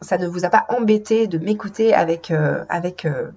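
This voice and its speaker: A French woman hosts her own podcast while nursing a cold, so her voice comes out husky and a little stuffy. She talks in a hesitant flow, pausing on fillers and restarting phrases. The recording is dull and lo-fi. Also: on the low side, warm tone. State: has a cold. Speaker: podcast host and intuition coach. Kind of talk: solo podcast monologue.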